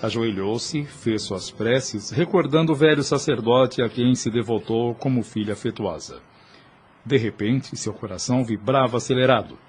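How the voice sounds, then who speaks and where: A man's voice: medium pitch, normal speed, darkish tone, breathy edge. A man narrating a scripted drama in a solemn, literary storytelling manner. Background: music.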